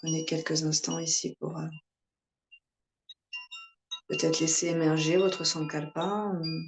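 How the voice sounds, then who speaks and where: A woman yoga instructor guiding a relaxation meditation in calm, soothing short phrases with pauses. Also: deep voice, over music.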